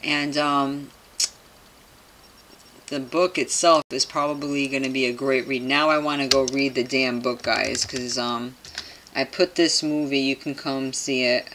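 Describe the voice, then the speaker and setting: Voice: medium pitch, steady tone, a little slow, bright, forceful tone, a little hiss or hum, rough recording. A person reading aloud and commenting casually to viewers, with skeptical, conspiratorial asides and frequent tag questions, in a home recording. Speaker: video host commenting on a topic.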